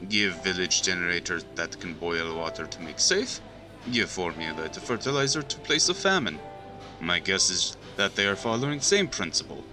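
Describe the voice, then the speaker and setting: A man's voice: low-pitched, lightly acted. A man playing a sardonic alleged Soviet spy with a Russian accent, speaking blunt, clipped broken English in an audio drama.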